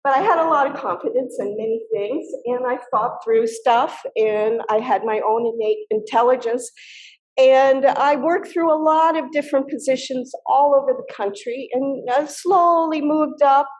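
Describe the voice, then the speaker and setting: A woman's voice: high voice, moderate pace, a little mellow, reverberant, poor audio. An older woman with a small, girly voice, a retired scientist candidly recalling her career with many fillers. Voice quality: little girly voice. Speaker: guest speaker, retired soil scientist. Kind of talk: personal career story in a talk.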